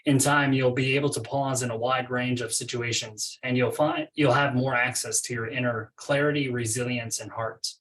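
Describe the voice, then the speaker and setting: A man's voice, medium in pitch, sits a little away from the microphone. He leads a guided meditation, giving scripted instructions in measured phrases with space between them. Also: steady tone, a bit bright, far from the mic, poor audio.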